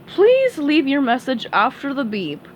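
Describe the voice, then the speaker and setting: A woman voicing a dim, good-natured male starfish who talks loudly and slow-wittedly. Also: high voice, wildly swooping pitch, dull audio, a little hiss or hum, pitched above the performer's own voice, exaggerated voice. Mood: fibbing.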